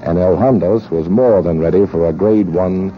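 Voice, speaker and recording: Man acting low-voiced cynical American adventurer, old radio. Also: normal speed, natural voice. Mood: wry. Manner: wry, wisecracking. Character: cynical, money-hungry American male gunrunner adventurer. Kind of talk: 1940s radio acting, hard-boiled narration. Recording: muffled, noisy 1940s radio recording.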